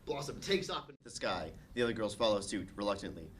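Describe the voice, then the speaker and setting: A man's voice: lowish voice, big pitch swings, fast, a bit bright, a little hiss or hum. A man reading scene directions as narrator at a comedic table read.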